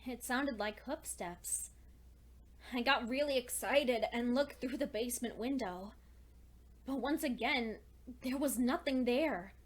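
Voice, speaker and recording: Horror story lead, played by a woman: scared, isolated young mare, high voice, unhurried halting delivery, anxious and intense. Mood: subdued, wary. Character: frightened, lonely young female pony keeping a journal. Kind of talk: dramatic first-person horror fan fiction reading.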